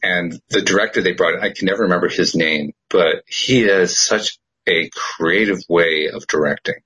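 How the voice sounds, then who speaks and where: A man hosting a podcast, talking in a measured, reflective way and chuckling often. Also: low voice, pushed and bright, slight background noise, rough recording. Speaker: podcast host. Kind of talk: casual chat.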